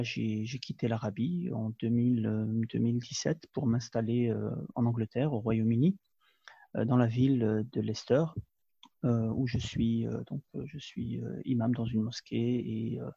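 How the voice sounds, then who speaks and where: A man's voice: medium pitch, steady tone, moderate pace, creaky, dark tone, slightly rough, dry recording, highs cut off. A man in his thirties from Belgium, of Moroccan family, speaking as an imam in an interview, hesitant with many fillers and restarts. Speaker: imam, guest. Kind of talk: conversational interview.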